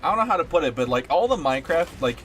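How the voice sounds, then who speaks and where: A man's voice: fairly high pitch, big pitch swings, very fast, a bit bright, slight background noise. A young man streaming a game, chatting casually in a rambling, sweary way and sounding a bit stuffed up. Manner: rambling and sweary, lots of fillers. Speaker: gaming streamer. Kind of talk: casual chat while playing a game.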